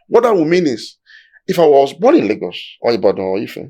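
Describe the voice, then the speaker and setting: A man's voice: medium pitch, huge pitch swings, a little fast, warm tone. A Nigerian man with a Nigerian accent, explaining history in a discussion in an emphatic, lecturing way, building his points step by step.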